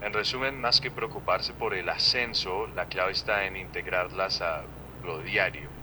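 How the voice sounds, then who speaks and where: A deep, low male synthetic voice answers in calm, evenly paced explanations. His accent is neutral Latin American with a slight Colombian tint. The sound comes from a phone speaker, with clear street noise behind it. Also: normal speed. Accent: neutral Latin American Spanish leaning Colombian. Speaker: AI voice assistant.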